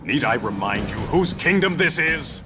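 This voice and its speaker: A man's voice: mid-range voice, big pitch swings, moderate pace, over loud music, close to an everyday voice. An actor playing a proud, stern warrior king in a video game, commanding and imperious. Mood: indignant. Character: proud, stern warrior king defending his undersea people.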